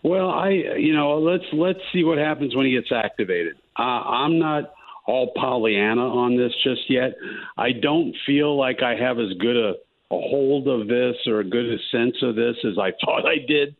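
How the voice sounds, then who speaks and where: Hoarse-voiced male columnist phoning in, halting delivery, thin phone-line sound. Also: medium pitch. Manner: halting, many fillers and restarts. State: losing his voice, hoarse. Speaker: sports columnist guest. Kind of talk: casual sports talk.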